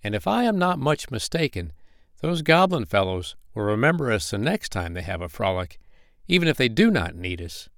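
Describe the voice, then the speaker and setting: A man voicing a wise old male firefly who lays out a plan in a deliberate, plotting tone. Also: mid-range voice, sing-song, no echo, studio quality. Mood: scheming.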